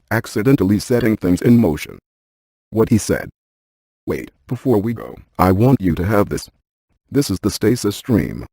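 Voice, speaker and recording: Video game paranormal scientist: cerebral male, deadpan and exact, very mellow dark tone, close dry sound. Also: fairly low pitch, slightly rough, no room sound. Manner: deadpan, precise, technical. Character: cerebral, methodical paranormal scientist, adult man. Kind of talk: scripted video game dialogue.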